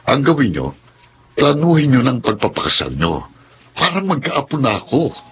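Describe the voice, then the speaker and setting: A man's voice: mid-range voice, wildly swooping pitch, moderate pace, a little hiss or hum. An actor playing a caring, easygoing middle-aged father in a Filipino radio drama. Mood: amused, reassuring. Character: caring, easygoing older father eager for grandchildren. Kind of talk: Tagalog radio drama acting.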